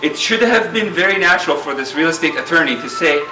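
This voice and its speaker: Booming middle-aged man, roomy hall. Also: animated, fast, music in the background. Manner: earnest storytelling with fillers. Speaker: speaker at a community dinner. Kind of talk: after-dinner speech. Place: large banquet hall. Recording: roomy hall sound, distant mic.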